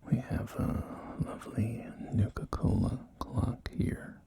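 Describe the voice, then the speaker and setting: A man's voice: slow, mellow, natural voice. A man whispering in an ASMR roleplay as a courteous, enthusiastic post-apocalyptic real estate agent, with genteel, hesitant sales patter. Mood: soothing, admiring. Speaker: roleplay performer. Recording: music behind close whispering. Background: background music.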